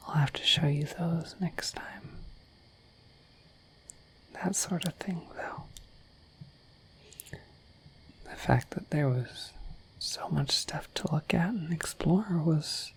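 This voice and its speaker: A woman voicing a shy, friendly dragon in a breathy whispered ASMR roleplay, rambling gently and hesitantly to the listener. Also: deep voice, poor audio. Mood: gentle, chatty. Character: shy, friendly, dorky dragon in humanoid form.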